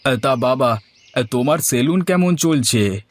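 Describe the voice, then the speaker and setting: A man's voice: medium pitch, animated, takes it slowly. A man voicing an older village elder in a cartoon, hearty and avuncular, with hesitant fillers. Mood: advising. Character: older village father or uncle, hearty, fussing. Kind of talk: Bengali cartoon voice acting.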